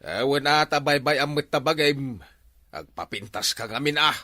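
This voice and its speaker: A man's voice: on the high side, normal speed, bright, forceful tone, no echo, studio quality. A man with an Ilocano accent acting a nosy, bossy older village man in a comedy skit. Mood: teasing, scolding.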